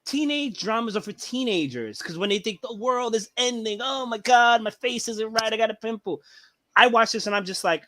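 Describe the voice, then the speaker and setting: A man co-hosting a geeky chat show, ranting with big swings in pitch and a bright, forceful tone. He is opinionated and acts out little quotes as he goes. The sound is close and dry. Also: moderate pace, dry recording. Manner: opinionated, animated rants with acted-out quotes. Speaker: podcast co-host. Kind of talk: casual chat.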